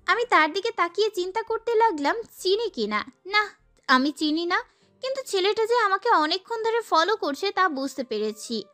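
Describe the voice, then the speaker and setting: Woman playing a very high-pitched, sassy young girl. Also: normal speed, soft background music, full character voice. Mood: dramatic, musing. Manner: expressive, girlish. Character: sassy, feisty young girl telling her love story. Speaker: narrator and lead actress. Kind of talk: dramatised first-person romance story narration.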